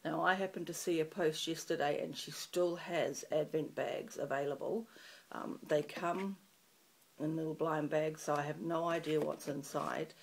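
A middle-aged woman from New Zealand with a New Zealand accent and a croaky morning voice, chatting to a webcam in a small room, rambling with lots of ums. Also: deep voice, fairly even intonation, normal speed, poor audio. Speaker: craft channel host. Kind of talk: casual chat to camera. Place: small room in front of a festive fabric backdrop.

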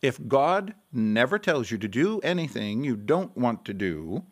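An actor playing a patient pastor leading a Bible study in an audio drama, earnest and explanatory. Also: male voice, mid-range voice, sing-song, a little slow.

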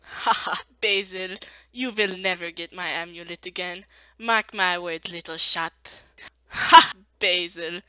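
A woman's voice: lowish voice, steady tone, unhurried, bright, forceful tone, smooth. A woman voicing a haughty, theatrical female villain with an attempted French accent and taunting manner. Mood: menacing. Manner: taunting, grandiose. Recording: poor cheap home microphone.

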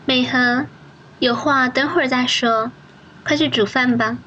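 Woman acting as a sly, high-voiced menacing lady. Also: steady tone, measured pace, sharp tone, dull audio. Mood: composed, commanding. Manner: taunting, commanding, mock-sweet. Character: sly, menacing, mocking woman in charge of the house. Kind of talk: audio drama acting. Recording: background music under the voice.

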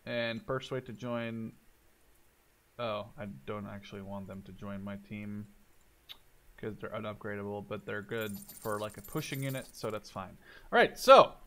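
A man's voice: medium pitch, a bit bright. A man in his twenties giving casual gameplay commentary, thinking aloud in an informal, sweary way. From Canada-based Dutchman. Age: 20s. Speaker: gaming video host. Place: indoors, voice over gameplay.

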